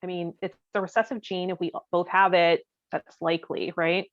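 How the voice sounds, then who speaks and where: Donna dal suono ovattato, chiacchiera da podcast. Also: female voice, lowish voice, little pitch movement, normal speed, no echo. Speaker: podcast co-host. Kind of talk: casual comedic podcast chat. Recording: dull, boxy, dry lo-fi audio.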